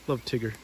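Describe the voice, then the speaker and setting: Male storybook reader, lively swooping intonation, casual asides, outdoors, faint insect noise. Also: mid-range voice, poor audio. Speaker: storybook reader and video host. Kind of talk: animated read-aloud with casual asides. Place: outdoors by a river.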